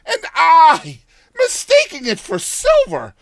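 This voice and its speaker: A man's voice: very high-pitched, huge pitch swings, takes it slowly, vocal fry, fairly bright tone, voice pushed up, exaggerated voice. A man voicing a cheery, ever-optimistic old countrywoman from a storybook, exclaiming in folksy rustic speech. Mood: surprised, contented.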